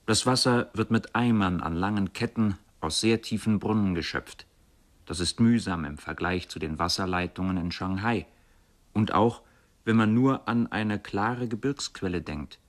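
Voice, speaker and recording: An actor playing a reflective man recalling his youthful wandering, in measured, contemplative literary radio narration. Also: low-pitched. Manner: measured, contemplative, carefully phrased.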